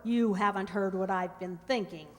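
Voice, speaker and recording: A woman's voice: medium pitch, fairly even intonation, normal speed, close to an everyday voice. A woman playing a brassy, scheming waitress mistress in a radio mystery drama, with a slangy, emphatic, dramatic delivery. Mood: bitter, determined. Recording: reverberant hall stage recording.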